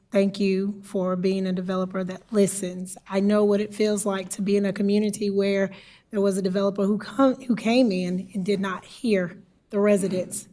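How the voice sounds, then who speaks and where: An American woman with a slightly raspy voice, making measured, earnest public remarks as a town commissioner. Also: mid-range voice, a little mellow.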